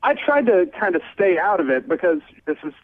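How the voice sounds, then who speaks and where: A man's voice: sits high, big pitch swings, fairly quick, dry recording. Earnest man on a tinny phone line. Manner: earnest, serious. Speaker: caller on a radio show. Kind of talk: casual personal storytelling. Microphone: telephone call.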